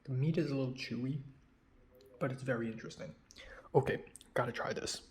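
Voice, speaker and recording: Young man, casual chatty vlogger, slightly raspy. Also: medium pitch, brisk, slight background noise. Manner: relaxed, chatty, drifting between soft murmurs and louder remarks. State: slightly raspy voice after a long phone call. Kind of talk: casual food-tasting vlog chat.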